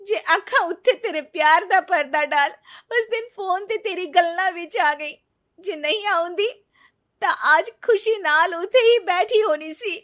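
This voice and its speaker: A woman's voice: very high voice, unhurried, a bit bright. A woman voicing a dramatic young daughter-in-law in a cartoon family story. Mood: bitter hurt. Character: young defiant daughter-in-law who turns tearful and remorseful. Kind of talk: cartoon moral story dialogue.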